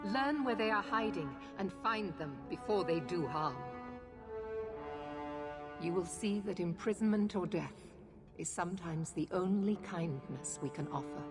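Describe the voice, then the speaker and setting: An imperious Templar commander in a fantasy game. Her voice is fairly low, formal and used to being obeyed. Long reverb surrounds it, with music clearly playing behind. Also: lively intonation, a little slow. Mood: stern, resolute. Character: stern, authoritarian female Templar knight commander. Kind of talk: dramatic video game acting.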